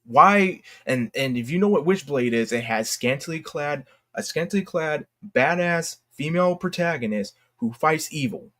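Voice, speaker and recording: A man giving unscripted commentary as a YouTuber, talking in a halting, slangy, exclamatory way and often interrupting himself. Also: fairly high pitch, animated, fairly bright tone. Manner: rambling, halting, self-interrupting. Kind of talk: unscripted casual commentary rant.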